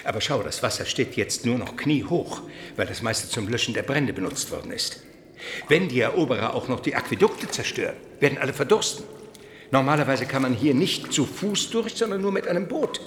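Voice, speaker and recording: A man playing a learned, calm Byzantine guide who explains things articulately in a radio drama. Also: mid-range voice, a little fast, natural voice. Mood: knowing, explanatory. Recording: echoey vaulted space with clear background noise.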